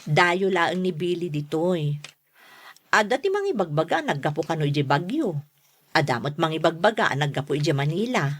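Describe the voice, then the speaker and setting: Prying big sister played by a woman, Ilocano accent, slightly low voice, teasing radio drama delivery. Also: lively intonation, moderate pace. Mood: teasing, prodding. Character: nosy, teasing older sister of the household.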